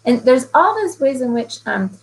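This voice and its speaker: A woman's voice: high-pitched, big pitch swings, normal speed, a little hiss or hum, poor audio. A woman interviewing a fellow poet, thinking aloud, riffing and asking thoughtful questions. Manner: thoughtful, riffing, warmly admiring. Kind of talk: conversational interview.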